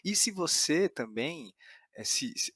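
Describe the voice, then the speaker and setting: A man's voice: on the high side, expressive, takes it slowly, a bit bright, slightly rough, dry recording, studio quality. Thoughtful Brazilian man hosting a podcast. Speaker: podcast host and interviewer. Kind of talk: reflective narration and interview questions.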